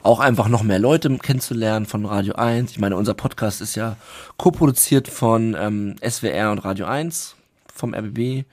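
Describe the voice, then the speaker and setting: A man hosting a podcast, chatting casually and telling stories in a lively way. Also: on the low side, moderate pace, no echo. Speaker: podcast host. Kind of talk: casual chat.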